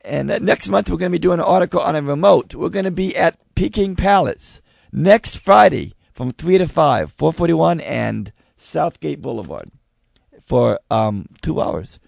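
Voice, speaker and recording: A man hosting a live radio talk show, loud and excitable, losing his voice. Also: fairly high pitch, moderate pace, creaky, no echo. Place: radio studio with live audience.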